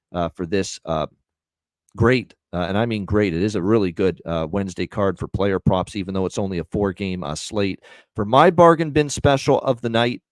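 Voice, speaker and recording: Man, low voice, sports betting host, filler-heavy, dry booth sound. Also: dry recording. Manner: energetic, repeats himself, many "uh" fillers. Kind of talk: solo podcast promo and picks.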